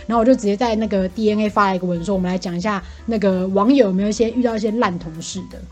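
A young woman with a Taiwanese Mandarin accent, chatting casually as a podcast co-host in an animated, unfiltered venting manner. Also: medium pitch, rapid-fire, soft background music. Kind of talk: casual chat.

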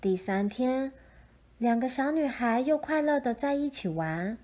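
A woman narrating a children's picture book in a gentle, measured storytelling style. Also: high-pitched, expressive.

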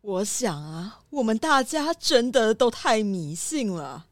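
A sensible town mayor voiced by a woman talks gently in a lively, slightly high voice. The recording is close and dry, as in a children's radio drama. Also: slow, no room sound. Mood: gentle.

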